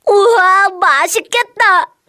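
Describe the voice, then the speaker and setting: Woman voicing a very high-pitched excitable little kid. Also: slow, no room sound, studio quality, full character voice. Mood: excited. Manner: exclaiming, wide-eyed, childlike. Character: excitable little boy in a children's storybook. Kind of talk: children's audiobook character acting.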